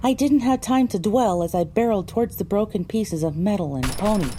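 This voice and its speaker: A woman's voice: medium pitch, lively intonation, a little fast, dark tone, slight background noise. A woman delivering scripted, first-person narration for an audio drama.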